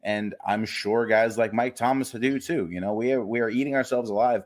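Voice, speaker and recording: A man's voice: mid-range voice, moderate pace. A man co-hosting a casual sports podcast, talking in wry, gloomy, self-mocking banter. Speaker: podcast co-host.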